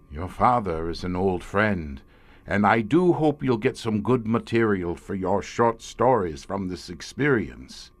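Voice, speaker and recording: An actor playing a middle-aged police inspector, a former professor haunted by a secret horror, brooding and theatrical in an old-time radio horror drama. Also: male voice, fairly low pitch, no room sound. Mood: ominous, haunted.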